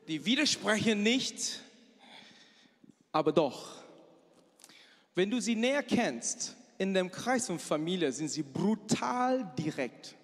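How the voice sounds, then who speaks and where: A man from Malaysia preaches in a halting way, in short phrases with pauses and self-corrections. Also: fairly high pitch. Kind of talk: sermon with personal stories. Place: large church hall, live before a congregation. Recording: long hall reverb from a church PA.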